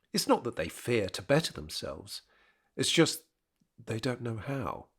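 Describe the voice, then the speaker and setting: A man narrating a scripted period drama in a measured, rhetorical manner. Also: mid-range voice.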